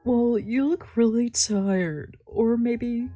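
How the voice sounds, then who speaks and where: A woman playing a bubbly, solicitous bar server, slightly husky. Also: a little high, slow pace, dark tone, soft background music, voice pushed up. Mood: concerned. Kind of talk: visual novel character voicing.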